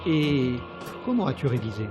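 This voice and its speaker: A man's voice: medium pitch, very animated, moderate pace, lightly acted. An actor playing an authoritative, probing robot engineer and father in an audio drama. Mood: probing, firm. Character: commanding android-engineer father, probing and determined. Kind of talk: French audio drama acting. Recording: music behind the voice.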